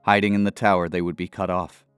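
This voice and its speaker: Deep, smooth male fantasy narrator. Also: flat delivery, no echo, soft background music. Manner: dramatic, measured storytelling. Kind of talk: scripted fantasy audio narration.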